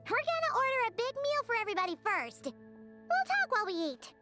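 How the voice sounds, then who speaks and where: A woman voicing a tiny, cheerful, bossy floating fairy girl in an animated video game. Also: very high voice, a little fast, over loud music. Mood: bossy, excited.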